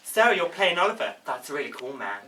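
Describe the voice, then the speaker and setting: A man acting an excitable, touchy Spanish porn actor in his late twenties at an amateur table read, with a mock Spanish accent and exaggerated delivery. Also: a little high, brisk. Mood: cheerful, teasing. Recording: roomy, distant mic with background noise.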